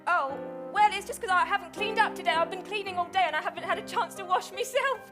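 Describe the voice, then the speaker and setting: Timid, very high-voiced panto heroine. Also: female voice, fast talker, over music. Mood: apologetic. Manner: stammering, timid, earnest. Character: meek, eager-to-please downtrodden young pantomime heroine. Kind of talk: improvised pantomime acting.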